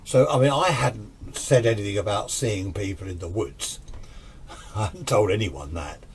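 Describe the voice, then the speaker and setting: A man's voice: on the low side, unhurried, a little hiss or hum. A British man telling a personal story informally and conversationally, acting out what each person said. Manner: conversational, acts out reported dialogue.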